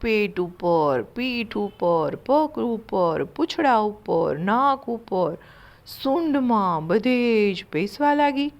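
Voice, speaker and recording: A woman storyteller narrating a children's moral tale in an expressive, deliberate way. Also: mid-range voice.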